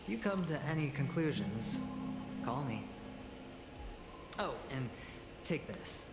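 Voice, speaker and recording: An actor playing a suave, sly young merchant and gambler in a video game, with a breezy, theatrical politeness. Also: male voice, medium pitch, very animated, takes it slowly. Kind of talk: scripted video game dialogue. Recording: echoing voice under loud game music.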